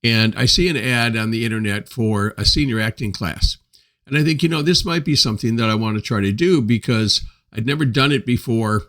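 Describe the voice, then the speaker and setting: A man in his seventies hosting his own show, telling a personal story in a reflective, unhurried way with many pauses. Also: mid-range voice, fairly bright tone. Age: 70s. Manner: unhurried, hesitant with frequent pauses and fillers. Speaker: host.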